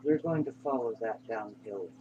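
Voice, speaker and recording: A man narrating a guided meditation in an unhurried, reassuring manner, pausing between short phrases. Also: medium pitch, lo-fi sound. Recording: clear background hum and noise.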